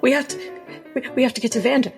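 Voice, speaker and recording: A direct, urgent adventurer played by a woman in a tabletop fantasy game. Her voice sits a little high and her delivery is blunt and questioning. Soft music runs behind it. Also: fast talker, gravelly. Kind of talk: tabletop roleplay, casual in-character talk.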